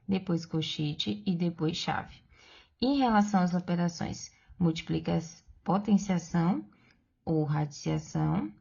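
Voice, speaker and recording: A woman's voice: lowish voice, gravelly, warm tone, husky, muffled recording. A woman with a Brazilian Portuguese accent, teaching math step by step for exam prep and patiently checking in with the viewer.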